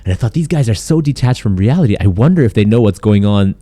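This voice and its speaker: A young man from Germany and the UK, a content creator, telling stories as a guest in a casual podcast interview. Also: fairly low pitch. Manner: quick, animated storytelling with frequent "like".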